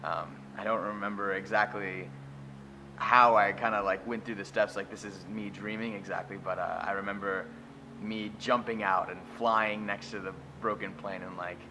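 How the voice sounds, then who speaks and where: A man casually recounting a dream in a halting, hesitant way. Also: fairly low pitch, steady tone, noisy background. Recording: music behind the voice. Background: music.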